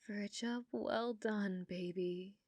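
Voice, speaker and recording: A British woman playing an obsessive, manipulative, adoring girlfriend in an intimate ASMR roleplay, soft and breathy, often dropping into whispers. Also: mid-range voice, fairly even intonation, no echo. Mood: tender, manipulative. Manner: slow, coaxing, intimate.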